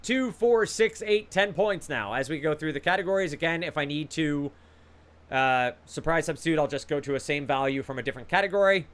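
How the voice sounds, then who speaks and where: A man's voice: on the high side, animated, fairly quick, fairly bright tone. A man hosting a trivia quiz over a video call, reading out questions with casual, encouraging banter between them.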